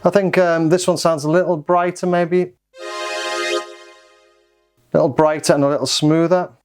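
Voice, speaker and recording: A man's voice: fairly high pitch, fairly even intonation, normal speed, music in the background. A man giving a casual, hesitant gear demonstration as a reviewer, thinking aloud as he goes. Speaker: synthesizer reviewer.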